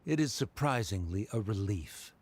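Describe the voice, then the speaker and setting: A man's voice: fairly low pitch, animated, moderate pace, a little mellow, slight background noise, lightly acted. An actor playing a haughty, stern middle-aged scholar father in a video game, pompous and formal in his speech. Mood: disdainful, grudging.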